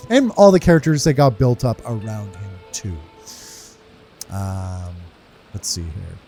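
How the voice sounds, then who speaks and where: A man's voice: fairly low pitch, unhurried. A man giving casual playthrough commentary as a game streamer, rambling and thinking aloud. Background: soft game music.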